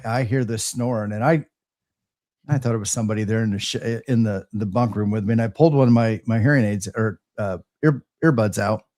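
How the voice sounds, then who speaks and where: Halting man chatting casually, dry close sound. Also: mid-range voice, darkish tone, no echo. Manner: halting, stammering delivery with repeated words. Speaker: host of a live ham radio show. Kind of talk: casual chat, storytelling.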